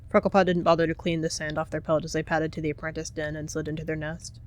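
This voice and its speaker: Casting: roleplay narrator with a medium-pitched voice, dramatic third-person storytelling. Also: flat delivery, quick pace.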